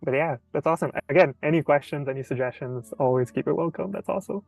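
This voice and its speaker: A young man, a designer from Sri Lanka, chatting on a video call in a relaxed way with many "like"s and "um"s. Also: fairly high pitch, fast talker, vocal fry, no room sound, soft background music, poor audio. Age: young adult. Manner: relaxed, says "like" and "um" a lot. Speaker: guest graphic designer. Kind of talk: casual livestream chat.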